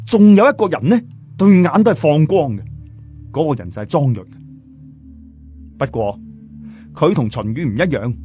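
A man's voice: fairly high pitch, a little mellow. A man telling a story in colloquial Cantonese-accented speech, theatrical, as an audiobook storyteller. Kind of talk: animated storytelling with character voices.

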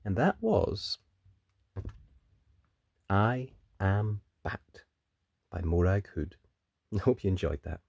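A woman's voice: extremely low pitch, lively intonation, slow, gravelly, long pauses, darkish tone, husky, no echo. A woman hosting a children's read-aloud, questioning young listeners and reacting expressively to the pictures. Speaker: children's story reader, host.

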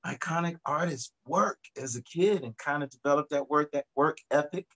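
A middle-aged man, a singer guest, talking in a relaxed, reflective way about his background on a video call from his home studio. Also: a little high, moderate pace. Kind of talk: casual video-call interview.

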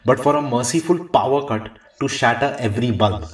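A man's voice: mid-range voice, fairly quick, far from the mic, natural voice. Echoey, pompous ghost critic, acted by a man. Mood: scornful. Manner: pompous, theatrical critic-like recitation. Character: mocking male ghost of awful theatre reviews. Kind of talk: radio play acting. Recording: echoey room, off the mic.

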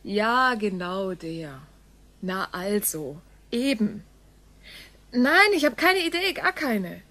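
An actress playing a flustered, impatient daughter on a halting phone call in a radio play. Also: female voice, mid-range voice, sing-song, bright, forceful tone, no room sound, heavily acted. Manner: halting one-sided phone talk.

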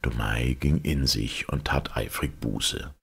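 A man narrating a literary legend as a storyteller, in a measured, dramatic manner. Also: rumbling low, vocal fry, husky.

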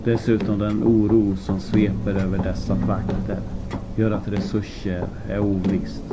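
A man narrating an audiobook from a script, in deliberate phrases with dramatic pauses. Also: on the low side, flat delivery. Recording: muffled lo-fi with clear background noise.

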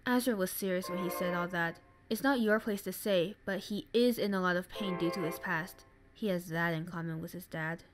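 A woman's voice: mid-range voice, lightly acted, low-quality recording. Woman as matter-of-fact narrator over prominent music. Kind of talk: comic dub narration.

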